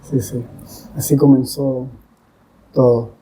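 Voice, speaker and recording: Podcast guest, male. Relaxed, mellow tone, unhurried and measured, pausing between short phrases. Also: medium pitch, slightly rough, rough recording. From Chile. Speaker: guest, English teacher. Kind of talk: reflective podcast conversation.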